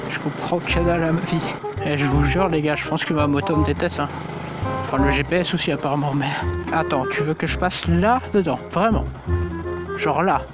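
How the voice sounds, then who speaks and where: A man's voice: on the high side, brisk, over loud music. A young man riding a motorcycle through town, casually rambling to his viewers with lots of fillers and slang. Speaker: motorcycle vlogger. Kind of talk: casual talk while riding. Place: on a motorcycle on city streets. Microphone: helmet-mounted mic while riding. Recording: loud wind and traffic noise.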